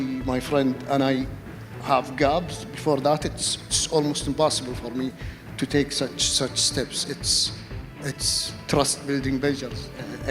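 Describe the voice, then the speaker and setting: Guy on a panel, halting n diplomatic, flat pitch, big echoey hall. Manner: halting, repetitive, diplomatic phrasing. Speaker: panel speaker, official. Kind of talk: panel discussion answer.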